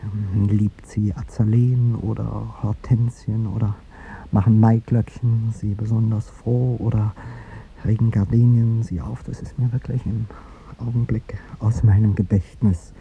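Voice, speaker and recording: A man's voice: fairly low pitch, level tone, a little slow, vocal fry, mellow, slight background noise. An actor playing an aging, dignified president who hides his heartbreak, speaking with hesitant, formal politeness in a radio drama. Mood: flustered, evasive. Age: older. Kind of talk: 1950s German radio drama acting. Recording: dull 1950s radio recording with music.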